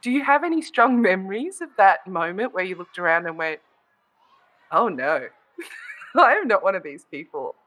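A woman hosting a casual conversation, expressive and quick to laugh, asking her guests questions. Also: medium pitch. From Australia. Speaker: host. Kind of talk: casual podcast chat.

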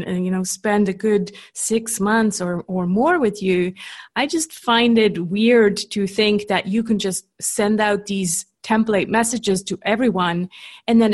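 A woman from Switzerland with a Canadian-tinged English accent, talking casually as a podcast guest about her coaching business and laughing easily between points. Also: mid-range voice. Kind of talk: casual interview chat.

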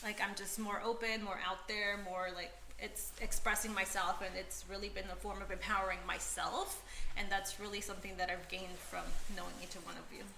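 A woman's voice: mid-range voice, little pitch movement, brisk, creaky, reverberant, noisy background. A young woman from Peru, a podcast guest, chatting casually with many fillers and frequent laughter.

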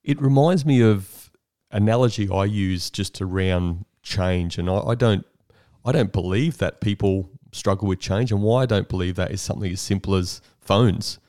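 Casting: male host, very low voice, dry close mic, thoughtful questioner. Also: moderate pace, warm tone, no echo. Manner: thoughtful, leading questions with shared analogies. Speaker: interview host. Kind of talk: conversational interview questions.

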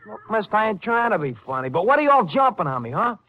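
High-voiced young Brooklyn wise guy. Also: very animated, fast. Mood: defiant, indignant. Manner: slangy, mouthy, defensive. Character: young wisecracking defiant Brooklyn work-camp man. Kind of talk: old-time radio drama acting. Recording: muffled vintage 1950s radio broadcast.

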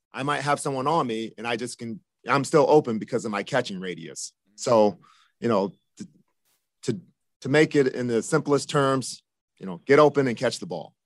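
A man in his fifties, a football receivers coach, answering interview questions in a measured way, with many "you know" and "uh" fillers. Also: mid-range voice, no echo. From Japan, raised in the United States from age seven.

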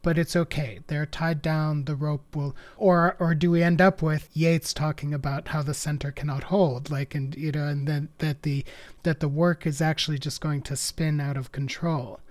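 This interviewer builds a long, discursive question with academic references and dry humor. The voice sits at an ordinary middle pitch. The delivery is wandering but pointed. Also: flat delivery, moderate pace, a little mellow.

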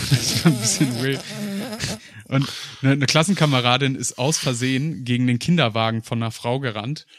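A man's voice: mid-range voice, steady tone, moderate pace, a bit bright. A young German man co-hosting a podcast, telling rambling personal stories in casual chat, with fillers and frequent laughs. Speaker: podcast co-host.

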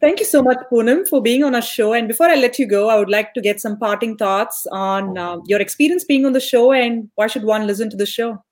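A woman's voice: fairly high pitch, fairly quick, few pauses, a little mellow. A young woman hosting a conversational podcast interview. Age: young adult. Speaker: podcast host.

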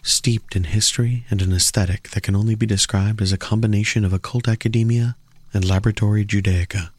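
A man reading a scripted promo in a slow, ominous, portentous manner. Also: lowish voice, darkish tone, no echo. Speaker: promo announcer.

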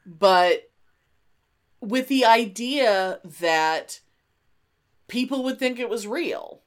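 A woman's voice: fairly high pitch, very slow. A woman co-hosting a casual podcast chat, telling stories in an emphatic, sweary way. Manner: animated, emphatic, sweary storyteller. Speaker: podcast co-host.

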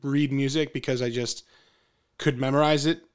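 A man's voice: mid-range voice, normal speed, smooth. A man co-hosting a podcast, talking animatedly in casual conversation and often restarting his phrases. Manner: animated, repeats himself while searching for words. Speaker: podcast co-host.

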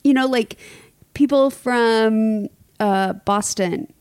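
A woman's voice: fairly high pitch, slow, darkish tone, clear, resonant tone, no room sound. A woman co-hosting a podcast in casual chat, cutting in with dry, teasing questions. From United States.

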